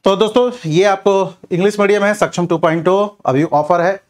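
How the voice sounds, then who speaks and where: A man's voice: high-pitched, fairly even intonation, normal speed. A man teaching an exam revision class in question-and-answer form, addressing students directly with repeated check-in tags. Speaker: history teacher for competitive exams.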